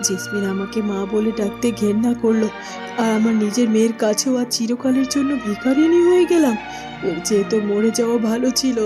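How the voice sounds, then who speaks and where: A woman's voice: fairly high pitch, fairly quick, natural voice. A woman playing a despairing fallen noblewoman in a cartoon, lamenting melodramatically. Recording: music and birdsong behind.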